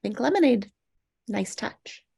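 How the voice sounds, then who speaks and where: Woman acting sarcastic down-on-her-luck single woman, blunt, slightly high voice, comedy table read, dry sound. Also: animated, unhurried, creaky, dark tone, slightly rough, no room sound, heavily acted. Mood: grudging, annoyed.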